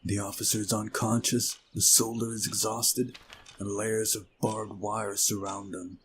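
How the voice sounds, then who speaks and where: A man's voice: on the low side, flat delivery, a little slow, a bit bright, slight background noise. A man reading a visual novel aloud as narration, with casual asides of his own. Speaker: let's play narrator. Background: game music.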